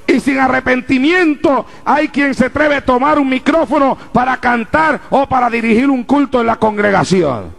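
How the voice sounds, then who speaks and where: Man preaching, very high voice, gravelly edge, fervent, shouting at peaks, church congregation. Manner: fervent, rising to shouts, rhetorical questions to congregation. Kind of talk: sermon.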